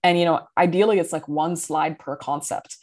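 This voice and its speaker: Woman guest, low register, from Sudan, founder type, relaxed chatty delivery with lots of fillers. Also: moderate pace. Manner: fluent but full of fillers and restarts, laughs often. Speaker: guest, company founder and CEO. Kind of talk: casual interview talk.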